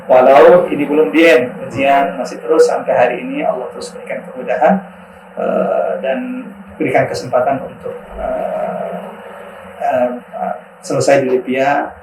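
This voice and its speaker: A man's voice: medium pitch, fairly even intonation, noisy background. A man from South Sulawesi with a Makassar accent, a guest Islamic preacher telling his story and giving earnest religious advice on a podcast. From South Sulawesi, Indonesia. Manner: earnest, unhurried storyteller who turns into forceful exhortation.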